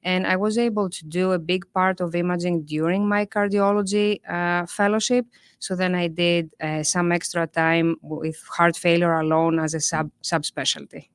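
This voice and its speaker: A woman's voice: on the low side, steady tone, moderate pace, clear, resonant tone, no room sound, studio quality. A woman cardiologist from Greece with a Greek accent, answering interview questions in a careful, explanatory way with frequent restarts. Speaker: cardiologist guest. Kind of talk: interview conversation.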